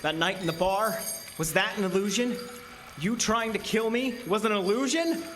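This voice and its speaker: Male actor as weary carnival boss, fearful, halting, slightly high voice, horror audio drama, noticeable noise behind. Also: lightly acted. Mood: desperate. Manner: hesitant, halting.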